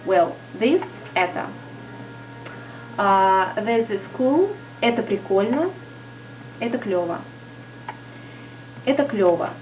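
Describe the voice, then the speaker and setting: A woman's voice: fairly high pitch, a little hiss or hum. A woman with a Russian accent, a native Russian speaker, teaching in a casual vlog lesson, hesitant and searching for words as she talks. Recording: tinny lo-fi audio with music behind.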